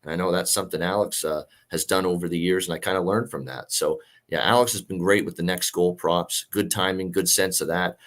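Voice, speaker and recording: A man hosts casual sports talk in a lowish voice. It is gravelly and worn from a night of singing, and he rambles along with plenty of filler pauses. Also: steady tone, fairly bright tone. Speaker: host of a sports betting show.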